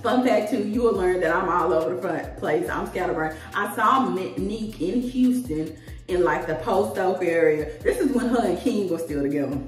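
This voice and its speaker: A woman, chatting casually to camera in a rambling, animated, slangy way as a vlogger. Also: on the low side, moderate pace. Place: small tiled indoor room at home. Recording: echoey room, distant mic, music behind.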